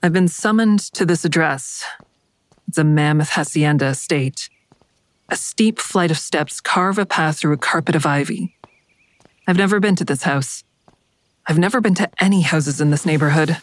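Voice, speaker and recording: Actress as low-voiced, guarded woman investigator narrating. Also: moderate pace, no echo, lightly acted. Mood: dry, observant. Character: Haven, guarded wry woman investigator narrating in first person. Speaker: lead actor and narrator. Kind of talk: first-person audio drama narration.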